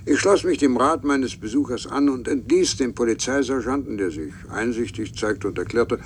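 A male actor plays a statesman narrating an official account in a radio drama. His voice is middle-pitched and formal, with a faint rumble behind it. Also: normal speed, close to an everyday voice. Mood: formal, recounting.

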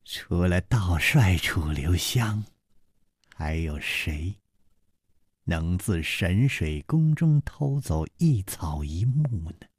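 Man playing a cold, haughty young swordswoman, deliberate. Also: deep voice, lively intonation, husky, no echo, clean studio sound, pitched below the performer's own voice, exaggerated voice. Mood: icy accusing. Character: cold haughty beautiful young martial-arts woman, menacing.

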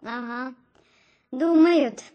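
High-voiced naive unborn baby girl. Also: extremely slow, highs cut off. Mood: curious. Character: unborn baby girl, naive, curious, gentle, five weeks old. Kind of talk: radio play acting. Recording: studio radio play with music behind.

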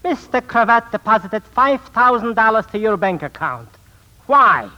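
An actor in a 1940s radio drama playing a sinister, bitter small-time swindler and phony psychic, with formal, theatrical diction. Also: male voice, sits high, very animated, normal speed, sharp tone, exaggerated voice. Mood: insinuating. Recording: muffled 1940s radio recording.

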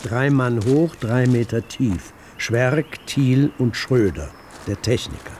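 An actor playing a literary chronicler, narrating a radio drama with measured, articulate and deliberate phrasing. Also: male voice, medium pitch, darkish tone, slight background noise, natural voice. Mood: grave, measured.

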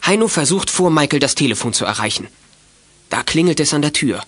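A man's voice: a little high, lively intonation, fairly quick, a bit bright, husky, no echo. A man narrating a children's detective radio play with scripted, dramatic storytelling.

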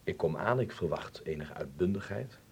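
Earnest Dutchman, low husky voice. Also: expressive, normal speed, darkish tone, exaggerated voice. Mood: brooding. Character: earnest, eager young Dutchman living in Berlin. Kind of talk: radio drama acting.

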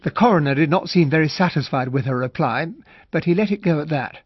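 An actor with a British accent playing an observant gentleman narrator, telling a mystery in the first person for a radio drama. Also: on the high side, fast, husky, dry recording. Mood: reflective. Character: observant gentleman narrator recalling a murder inquest. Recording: dry, dull, band-limited radio recording.

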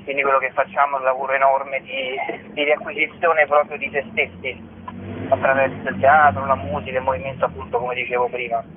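A man's voice: mid-range voice, fairly quick, slight background noise. Man on a narrow phone-band line. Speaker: musician and theatre author, interview guest. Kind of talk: radio interview. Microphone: phone call to a radio show. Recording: narrow telephone-band sound.

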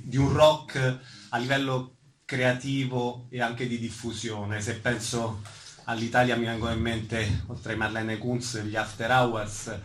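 A male interviewer with a mid-pitched voice puts questions slowly and haltingly, repeating words as he searches for phrasing. The room echoes around him. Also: a bit bright. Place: live event in an arts club. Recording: roomy echo, faint background noise.

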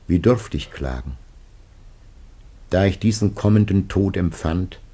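An actor playing a grave, aged biblical patriarch facing death, declaiming solemnly and haltingly in a classic radio drama. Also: male voice, low-pitched, expressive, mellow, slightly rough, full character voice. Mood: anguished, solemn.

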